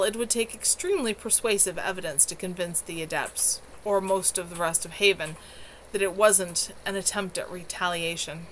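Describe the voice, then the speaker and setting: A woman's voice: medium pitch, fairly bright tone, rough recording. Woman reading a story, audible background noise. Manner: amateur, unpolished narrative delivery. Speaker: author reading own novel aloud on a livestream. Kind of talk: live novel reading with casual asides. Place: at home.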